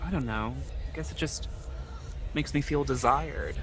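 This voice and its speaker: An actor playing a lonely, ashamed man in his twenties, speaking in a halting, confessional way in an audio drama. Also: mid-range voice, fairly bright tone, lightly acted. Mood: sad, ashamed. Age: 20s. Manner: halting, confessional, repeats himself. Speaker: podcast host acting in own audio drama.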